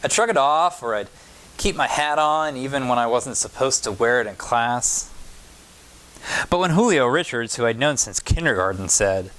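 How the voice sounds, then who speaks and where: A man reading a children's picture book aloud as a pastor at storytime, in measured short phrases with pauses. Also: medium pitch, animated, fairly bright tone.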